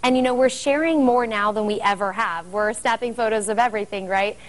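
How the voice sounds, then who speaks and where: A woman's voice: medium pitch, a little fast. An American woman in her thirties speaking conversationally as a spokesperson in a promotional TV interview. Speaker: guest spokesperson promoting a product. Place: furnished living-room set indoors. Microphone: lapel mic.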